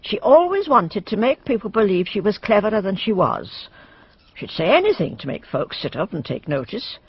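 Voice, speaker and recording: A woman's voice: medium pitch, very animated, normal speed, husky, dry recording, dull audio, full character voice. An actress playing a suspicious, gossipy village woman in a radio drama, blunt and full of knowing hints. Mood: spiteful, gossipy.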